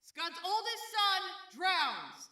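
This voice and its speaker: Raider warlord queen: very high, piercing, slow ceremonial delivery, grandiose and cruel, audio drama. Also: sing-song, bright, forceful tone, full character voice. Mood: solemn, imperious. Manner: pompous, ceremonial, theatrical pronouncements. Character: imperious female raider cult leader, grandiose and cruel. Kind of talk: theatrical post-apocalyptic audio drama acting. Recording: echoey room, distant from mic.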